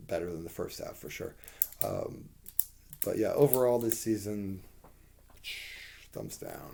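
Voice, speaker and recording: A man with a hoarse voice giving a rambling, candid spoken TV review, with plenty of fillers and the odd curse. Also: deep voice, warm tone, a little hiss or hum.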